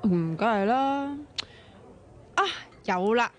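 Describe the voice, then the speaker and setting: An actress playing a sharp-tongued, bossy, excitable young woman in a radio drama, chatty and expressive, with a Hong Kong Cantonese accent. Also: fairly high pitch, takes it slowly. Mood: persuasive, cheeky.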